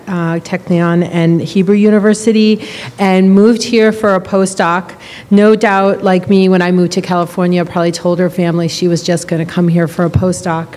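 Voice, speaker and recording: A woman introduces a guest speaker in a relaxed, chatty way at a stand mic. Her voice sits on the low side. The sound carries the long reverb of a large hall.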